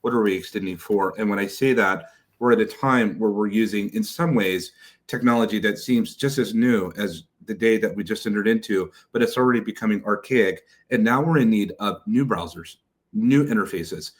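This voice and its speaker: A man with a low voice moderates a tech panel. He speaks emphatically and rhetorically, framing big questions before passing the floor to the next speaker. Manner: emphatic, rhetorical, quick to hand off.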